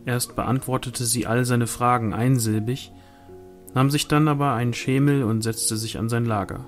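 A man reads a novel aloud in a scripted narrating style. His pitch sits in the middle range. Faint instrumental music plays behind his voice. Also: fairly even intonation, normal speed. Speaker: audiobook reader.

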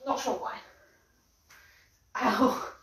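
A woman's voice: drawn-out words, fairly bright tone. A young woman with an Australian accent, chatting casually and laughing often as she unboxes something on a phone live stream in her bedroom. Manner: chatty, giggly, rambling with frequent laughs. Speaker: vlogger. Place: small cluttered bedroom, indoors. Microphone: phone camera propped on a mug.

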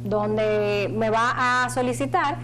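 A woman in her thirties explaining procedures as a studio guest, in halting phrases with repeated words. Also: a little high, a bit bright, slight background noise. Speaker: financial agency spokeswoman, studio guest. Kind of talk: explanatory interview talk. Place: small indoor TV studio.

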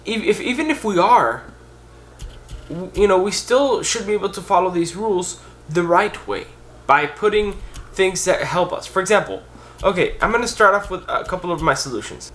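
A young man with a fairly high voice talks straight to the camera in a casual vlog. He is earnest and animated, and his pitch climbs when he presses a point. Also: moderate pace. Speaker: vlogger on blindness. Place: indoors, a green-screen backdrop of store photos.

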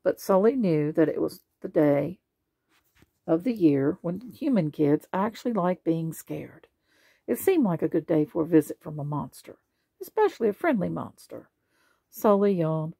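A woman reading children's bedtime stories aloud in an expressive way. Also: fairly low pitch, moderate pace, vocal fry, husky, no room sound. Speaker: storybook reader.

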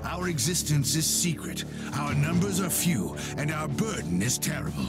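A man acting a battle-hardened, sardonic space marine sergeant in a video game, gruff, wry and theatrical. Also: on the high side, very animated, moderate pace, loud music behind the voice. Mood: wry, grave.

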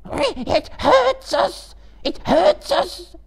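A man's voice: extremely high pitch, sing-song, very slow, vocal fry, voice pushed up, exaggerated voice. A man voicing a wretched, cringing, sly creature in a thin, hissing whine for a live storybook reading. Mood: pained, bitter. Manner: pleading, broken grammar, repeats phrases. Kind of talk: dramatic reading of a fantasy novel.

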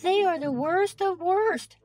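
A man voicing a timid deer in a storybook, complaining in a very high voice. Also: slow pace, a little mellow, soft background music.

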